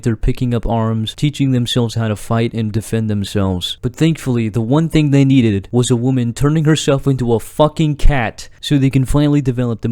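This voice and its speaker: A man's voice: mid-range voice, warm tone, dry recording, studio quality. A young man giving an exasperated, sarcastic, profanity-laced rant about online clips. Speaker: commentator. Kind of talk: ranting commentary on clips.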